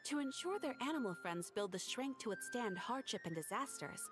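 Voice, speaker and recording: A courteous guild receptionist, voiced by a woman, speaks in a formal, explanatory manner with a lively, expressive lift in her voice. Game music plays softly behind her. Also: a little high, fairly quick, natural voice. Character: polite adult female guild receptionist, helpful and formal. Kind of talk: video game dialogue.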